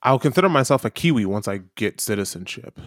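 A man's voice: mid-range voice, expressive, fast talker, no echo, clean studio sound. A man in his thirties with a Midwestern American accent, chatting casually and arguing his point with easy laughs. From United States. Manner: chatty, argumentative, laughs easily. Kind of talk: casual chat.

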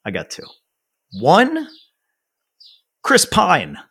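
A man's voice: high voice, wildly swooping pitch, very slow, gravelly, a bit bright, husky. A man co-hosting a podcast in casual chat, blunt and opinionated, swearing casually. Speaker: podcast co-host.

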